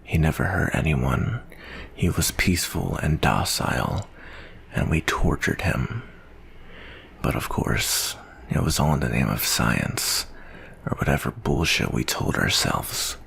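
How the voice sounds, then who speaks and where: A man playing a jaded, guilt-ridden monster-hunting soldier in an ASMR roleplay monologue, speaking in a slow, brooding, weary way with a gravelly, husky voice. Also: very deep voice, expressive, fairly bright tone, exaggerated voice. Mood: somber, regretful.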